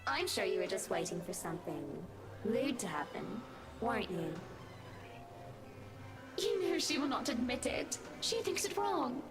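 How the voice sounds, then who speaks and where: Loud music surrounds a cruel female spirit from a horror game. She is high-pitched and draws out every accusation with preachy, theatrical scorn. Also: big pitch swings. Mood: taunting, contemptuous. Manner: slow, scornful, preachy, melodramatic.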